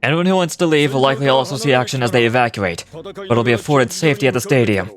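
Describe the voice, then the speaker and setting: A man voicing a resolute war leader from a fantasy game, with a medium-pitched voice. He speaks earnestly and deliberately, like a commander, over prominent music. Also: close to an everyday voice. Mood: commanding. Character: resolute male war leader rallying his fighters. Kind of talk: dramatic fantasy game voice acting.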